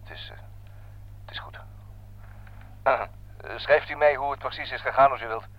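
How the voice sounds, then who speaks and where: A man's voice: mid-range voice, fairly even intonation, normal speed, lightly acted. An actor playing a formal, controlled male army lieutenant in a radio play. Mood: restrained grief. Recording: dull lo-fi old radio play.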